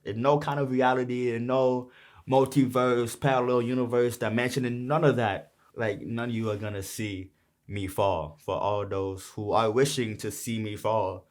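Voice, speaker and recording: A man's voice: medium pitch, little pitch movement, normal speed. A young man from Brooklyn with a New York accent, casually talking through the lines of his song in a rambling, slang-heavy way. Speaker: rapper explaining his song. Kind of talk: casual lyric breakdown.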